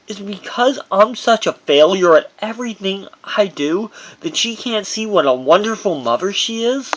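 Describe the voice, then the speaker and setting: A man reading his own first-person memoir as audiobook narration, in a halting delivery that stumbles over words. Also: a little high, fairly bright tone, poor audio.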